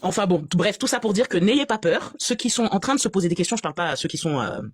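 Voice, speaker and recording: A woman from France giving an animated, exasperated and sarcastic personal testimony as a guest on a live stream. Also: mid-range voice, fast, breathy edge, dry recording, studio quality.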